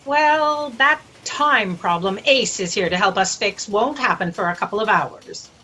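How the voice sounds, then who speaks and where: A woman's voice: medium pitch, expressive, unhurried, bright, forceful tone, breathy edge, heavily acted. A woman playing a demanding, exasperated boss who scolds her staff in a sci-fi satire audio drama. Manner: bossy, scolding.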